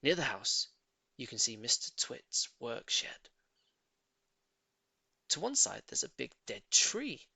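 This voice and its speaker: Male audiobook narrator for children's fiction: emphatic, lively, forceful tone, very dry recording. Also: medium pitch, slow pace, vocal fry, long pauses, slightly rough, no room sound. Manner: theatrical, emphatic storytelling. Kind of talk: expressive scripted narration of a children's story.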